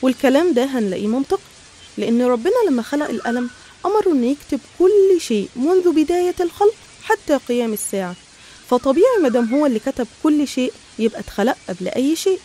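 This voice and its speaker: A woman with an Egyptian accent narrates religious stories as a teacher would. Her voice is high and soft-edged in tone, on the mellow side, and she moves along quickly. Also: expressive, slight background noise. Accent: Egyptian Arabic. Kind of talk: educational religious storytelling narration.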